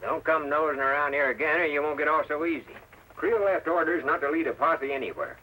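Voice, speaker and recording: An actor playing a scornful, scheming crooked deputy in an old radio western, brusque and sneering. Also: male voice, a little high, lively intonation, fairly quick, sharp tone. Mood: scornful, dismissive. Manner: brusque, drawn to threats and sneers. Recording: faintly noisy old radio recording.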